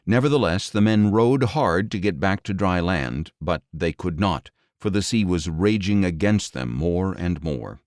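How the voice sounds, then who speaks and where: A man reading scripture as an audiobook narrator in a measured, solemn, dramatic storytelling style. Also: sits low, lively intonation, a little mellow, no echo, studio quality.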